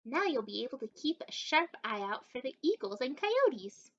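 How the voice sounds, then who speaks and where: A self-assured little storybook sheep, read aloud by a woman, always helpful and a touch bossy. The voice is high and swoops around as she hands out instructions, on a slightly dull recording. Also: big pitch swings, moderate pace, exaggerated voice. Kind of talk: children's picture book read-aloud.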